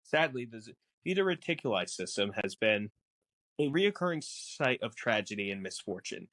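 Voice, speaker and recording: A man's voice: medium pitch, very animated, normal speed, a bit bright, dry recording. A man guesting on a podcast, explaining film lore casually with theatrical, mock-exasperated banter.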